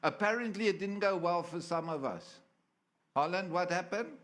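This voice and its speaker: A man preaches in church in a playful, storytelling way, often stopping to ask for an amen. His voice sits a bit higher than most men's. He jokes with the crowd between scripture readings. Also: lively intonation, normal speed, background noise. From South Africa. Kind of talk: sermon.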